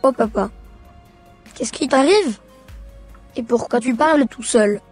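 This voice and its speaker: High, husky young boy, played by a woman. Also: a little slow, darkish tone, soft background music. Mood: earnest, respectful. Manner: earnest, respectful. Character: polite earnest young son, devout and affectionate.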